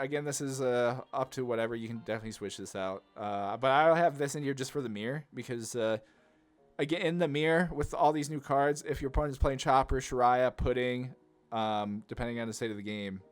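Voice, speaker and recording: A man's voice: mid-range voice, fairly quick. A man hosts a gaming channel in a mid-range voice. He talks casually and enthusiastically, rambling through his card game commentary with plenty of filler "like". Music plays behind his voice. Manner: rambling, enthusiastic, heavy use of "like".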